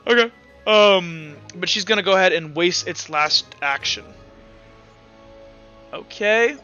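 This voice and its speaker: A man narrating a tabletop roleplaying game as game master in an animated, casual, profane way. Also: high voice, slow pace, clear, resonant tone, highs cut off, soft background music.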